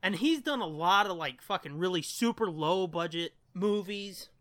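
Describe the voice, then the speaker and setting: Bright-voiced, profane podcast co-host, very dry sound. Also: mid-range voice, expressive, moderate pace, dry recording. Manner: profane, slangy, blunt. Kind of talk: casual chat.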